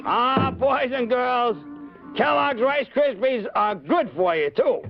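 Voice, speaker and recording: A proud puppet detective speaks extremely high and slowly, pitching to children. The old TV recording is muffled, under loud music. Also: male voice, expressive, exaggerated voice. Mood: proud, salesmanlike. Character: proud, squeaky puppet private-eye inspector. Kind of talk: cartoonish sales pitch to children.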